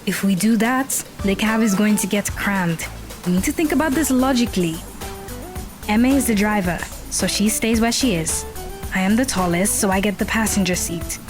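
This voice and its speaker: A woman's voice: medium pitch, moderate pace, natural voice. A woman playing a bossy, logical, argumentative teenage girl in an audio drama. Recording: music bed under the voice.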